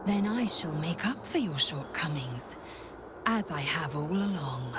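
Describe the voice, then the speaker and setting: A woman's voice: low-pitched, lively intonation, unhurried. A woman playing a haughty, impatient githyanki warrior in a video game, speaking in a formal, clipped, commanding way. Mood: stern, impatient. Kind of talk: video game character acting. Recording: loud background ambience noise.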